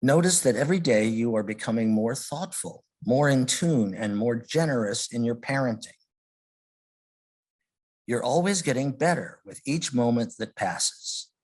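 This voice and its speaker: Guy doing a guided meditation, really slow, kinda husky, gentle with big gaps between phrases. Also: medium pitch, lively intonation. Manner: gentle, halting, with long pauses between phrases. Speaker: meditation leader.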